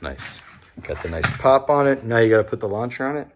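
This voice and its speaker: A woman's voice: rumbling low, background noise. A woman chatting playfully while filming a toy unboxing at home on a handheld phone, with music underneath. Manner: playful, excitable, quick exclamations. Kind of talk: casual chat. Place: home living room, wood floor.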